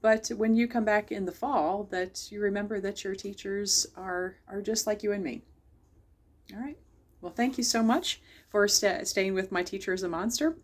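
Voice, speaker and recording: A woman's voice: medium pitch, a little mellow. A woman hosting a children's storytime, reading a picture book aloud and chatting directly to young listeners. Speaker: library storytime host.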